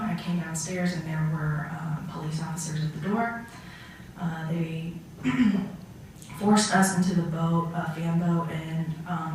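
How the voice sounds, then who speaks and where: In a roomy, distant recording with faint noise, a woman plays a humble man of forty-seven testifying in court. The delivery is low for a woman and halting, with many ums and little melody. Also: darkish tone, close to an everyday voice. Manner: halting, hesitant, frequent ums and pauses. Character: humble 47-year-old Syrian immigrant man, painting contractor on witness stand. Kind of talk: amateur mock trial testimony. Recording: echoey room, far from mic, faint noise.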